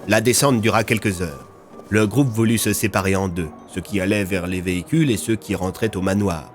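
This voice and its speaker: A man's voice: on the low side, brisk, soft background music. A man narrating a scripted audio fiction as its storyteller.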